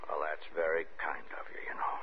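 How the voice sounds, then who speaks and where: A man's voice: a bass voice, steady tone, fairly quick. A man playing a folksy, insinuating, watchful character in a 1950s radio suspense drama, courteous and hesitant but probing. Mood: falsely courteous. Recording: noisy, muffled old radio recording.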